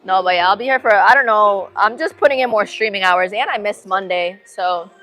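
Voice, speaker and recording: Young woman, light Puerto Rican American accent, casual livestream chat, slangy, opinionated, clear music in the background. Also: mid-range voice, a little fast, fairly bright tone, low-quality recording. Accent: Puerto Rican-tinged American. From Massachusetts, USA. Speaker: live streamer. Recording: music playing behind.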